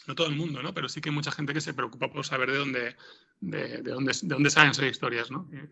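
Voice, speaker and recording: Gravelly-voiced Spanish man, bright and hesitant. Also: medium pitch. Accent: Spanish from Spain. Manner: hesitant, rambling, with frequent repeated words and tag questions. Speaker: interview guest, podcast scriptwriter. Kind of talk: unscripted interview answers.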